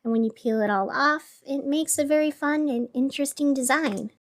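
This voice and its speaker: A woman's voice: sits high, moderate pace, natural voice. A woman playing a weary, lonely radio host, musing wistfully to herself in a scripted radio fiction monologue. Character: weary, lonely, melancholy female radio host in a gray city. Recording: music playing under the voice.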